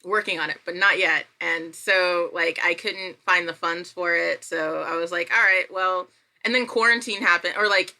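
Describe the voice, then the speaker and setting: A woman chatting casually as a podcast guest, telling self-deprecating stories and acting out her own thoughts in an animated way. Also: on the low side, normal speed. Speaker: podcast guest, writer and creator. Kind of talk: casual chat, anecdotal storytelling.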